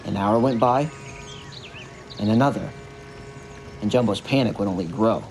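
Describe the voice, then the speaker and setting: A man's voice: fairly low pitch, normal speed, creaky, a little mellow. A man narrating a scripted story for an audio drama. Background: music.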